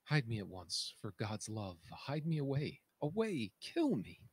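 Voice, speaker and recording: A man's voice: medium pitch, huge pitch swings, unhurried, a little mellow, husky, voice pushed up, full character voice. A man playing an anguished, self-loathing blinded king in a theatrical, declamatory reading of Greek tragedy. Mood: desperate pleading. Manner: declamatory, tormented, dramatic.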